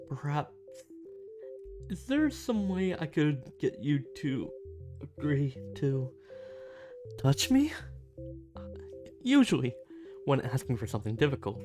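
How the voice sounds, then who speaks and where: A woman playing a shy, snarky, touch-starved alien in an ASMR roleplay audio drama, with a halting, flustered delivery. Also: low voice. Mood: nervous, hesitant. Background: soft music.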